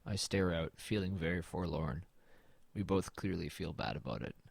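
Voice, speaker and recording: A man's voice: sits low, moderate pace, vocal fry, slightly rough, dry recording, full character voice. A man playing a weary, haunted man who narrates his own horror story in a glum, understated way in an audio drama. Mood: somber, resigned. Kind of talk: first-person scripted audio drama narration and dialogue.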